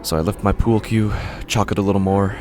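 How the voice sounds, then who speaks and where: A man narrating a first-person horror story in a halting, uneasy way, often breaking off mid-sentence, over a music score. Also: fairly low pitch, fairly even intonation. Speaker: podcast narrator.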